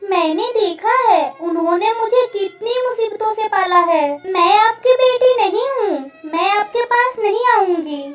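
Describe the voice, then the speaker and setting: Very high, earnest little princess played by a woman. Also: a little slow, hardly any pauses, far from the mic, over music. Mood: defiant. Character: devoted, pleading little princess girl raised by fisherfolk. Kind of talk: Hindi cartoon story dialogue.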